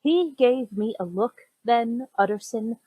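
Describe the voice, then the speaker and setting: Genteel Victorian tale-teller, woman performing: highish, animated, theatrical, formal, indignant. Old-time radio drama. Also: a little slow, no echo, poor audio. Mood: horrified storytelling. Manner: theatrical, chatty, formal storytelling. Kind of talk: old-fashioned radio play acting.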